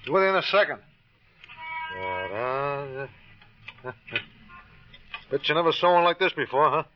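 A man's voice: on the high side, big pitch swings, a little slow, slight background noise. An actor playing a chatty, wisecracking middle-aged repairman with folksy patter in an old radio crime drama. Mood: jovial. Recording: dull old radio recording.

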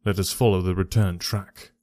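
A man reading aloud, voicing a calm, sharp-minded male detective who speaks smoothly and with quiet command. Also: low voice, moderate pace, no room sound, clean studio sound, pitched below the performer's own voice, natural voice.

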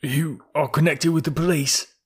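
A man's voice: mid-range voice, measured pace, vocal fry, a bit bright, slightly rough, heavily acted. A man voicing a frightened male ex-servant accused of murder, who stammers and protests.

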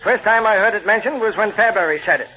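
An actor playing an excitable, deferential newsroom man with folksy, informal talk in an old-time radio drama. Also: high voice, fast, natural voice. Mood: eager reporting. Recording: thin, tinny old radio recording.

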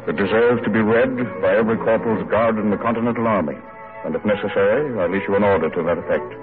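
An actor playing an earnest, commanding general of the Revolutionary War in an old-time radio drama. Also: male voice, lowish voice, fast talker, natural voice. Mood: earnest, resolute. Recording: tinny old radio broadcast, music behind.

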